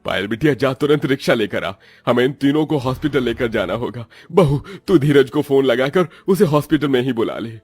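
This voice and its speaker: A man's voice: medium pitch, animated, a little fast, few pauses, slightly rough, dry recording, faint music behind. Man as an urgent, worried village grandfather. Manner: urgent, concerned.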